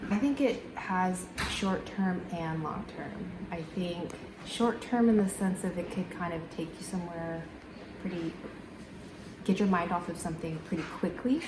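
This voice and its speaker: A woman answers interview questions in a thoughtful way, pausing often with ums. Her voice sits fairly low. The recording sounds echoey, with steady noise behind her. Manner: thoughtful, many ums and pauses.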